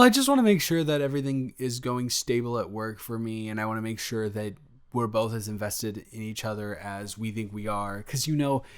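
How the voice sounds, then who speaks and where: Casting: male actor for young, affectionate, easily excited boyfriend in roleplay audio; medium-pitched, playful, tumbles over his words. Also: close to an everyday voice. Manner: effusive, rambling, stumbling over words, playful slang. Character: affectionate, excitable, goofy golden-retriever boyfriend, young adult. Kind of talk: improvised boyfriend roleplay audio, casual intimate chat.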